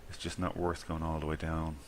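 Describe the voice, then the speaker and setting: A man's voice: extremely low pitch, completely flat delivery, brisk, slight background noise. An Irish man talking casually to himself while playing a video game, rambling and chuckling at his own mistakes. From Ireland. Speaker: gaming video creator. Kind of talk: casual gameplay commentary.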